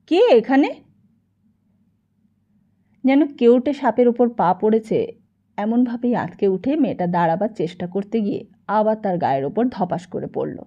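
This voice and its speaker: A woman narrating a literary novel as an expressive audiobook storyteller. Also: mid-range voice, moderate pace, lo-fi sound.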